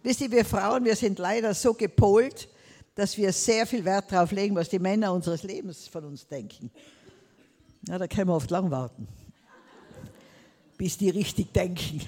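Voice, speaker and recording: A woman's voice: medium pitch, dark tone, breathy edge, big-room sound. Older Tyrolean woman preaching emphatically. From Tyrol, Austria. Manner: emphatic, drawn-out preaching with frequent Amen and Hallelujah. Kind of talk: sermon.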